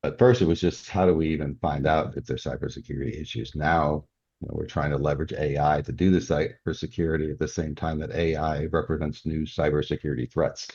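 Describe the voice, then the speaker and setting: A man's voice: very deep voice, fairly even intonation, fairly quick, darkish tone, breathy edge. An older man talking casually as a guest in a podcast interview, often chuckling as he speaks. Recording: dull, muffled lo-fi audio.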